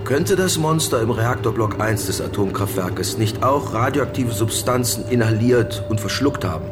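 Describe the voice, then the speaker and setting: A male news correspondent played by an actor puts questions live in a radio drama. His mid-pitched voice is urgent and inquiring, with music behind it. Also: expressive, normal speed, natural voice. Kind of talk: radio play imitating live news reporting.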